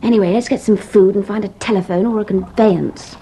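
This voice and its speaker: An actress playing a plucky, practical upper-class English married lady in a farce, brisk, expressive and chiding. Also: medium pitch, a little hiss or hum, natural voice, poor audio. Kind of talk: stage farce radio acting.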